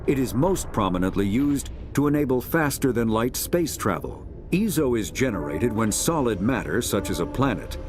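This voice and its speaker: A man reading scripted encyclopedic narration for a sci-fi game in a measured, formal manner. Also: mid-range voice, a little mellow, slight background noise.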